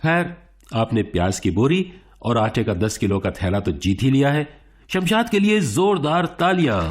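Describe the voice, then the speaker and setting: A man playing a suave quiz show host in a radio play, formal and theatrical, with dramatic pauses. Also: mid-range voice, noisy background.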